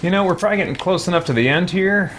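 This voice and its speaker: A man's voice: high-pitched, rapid-fire, over music. A man giving casual, conversational gameplay commentary, thinking aloud as he plays. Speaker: let's play host.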